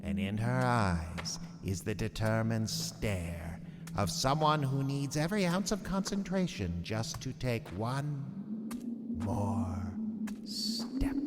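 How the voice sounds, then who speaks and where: A man's voice: medium pitch, sing-song, extremely slow, pauses often, very noisy. A man playing a sinister, gleeful old warlock who narrates horror tales theatrically in a radio drama. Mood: ominous storytelling. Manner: theatrical, macabre, mockingly cheerful. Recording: long cavernous reverb, music behind. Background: music.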